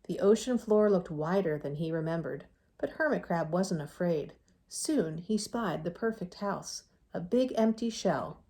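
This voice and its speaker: A woman teacher reading a storybook aloud to young children, expressive, with chatty asides and gentle checking questions aimed at them. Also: lowish voice, a little slow.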